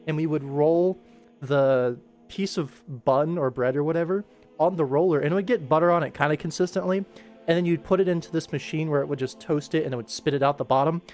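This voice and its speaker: A man's voice: fairly high pitch, darkish tone. A young American man talking casually and enthusiastically on a livestream, emphatic and given to going off on tangents. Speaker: livestream host.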